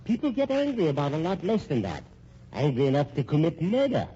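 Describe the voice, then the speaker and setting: Radio drama villain: a sardonic, falsely courteous schemer, highly animated delivery, noisy vintage recording. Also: male voice, a little fast, dull audio. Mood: gloating, controlling. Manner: mock-genial, stammering false courtesy. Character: scheming, smug, sardonic husband playing everyone against each other. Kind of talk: old-time radio drama acting.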